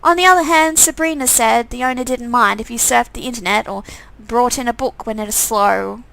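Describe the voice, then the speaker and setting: A woman's voice: on the high side, fairly quick, vocal fry, a bit bright, low-quality recording. A woman reading a visual novel game aloud as its narrator, with a wry way of talking.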